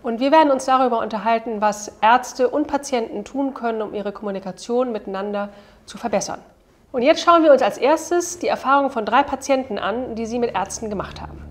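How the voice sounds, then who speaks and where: A middle-aged woman hosting an interview in a bright studio, introducing topics in a clear, measured way. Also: on the high side, slight background noise. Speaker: host. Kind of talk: hosted interview.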